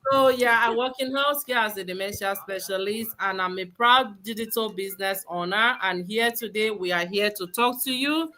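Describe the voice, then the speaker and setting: A woman's voice: medium pitch, moderate pace, few pauses, bright, forceful tone. A woman from Nigeria hosting a live online webinar, upbeat and exhortative, asking many questions of the audience. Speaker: host. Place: lives in Sweden.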